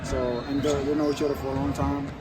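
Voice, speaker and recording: A teenage basketball recruit from Senegal, answering interview questions in a halting, casual way, full of fillers. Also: male voice, mid-range voice, flat delivery, very noisy. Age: teens. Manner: halting, many fillers like um and you know.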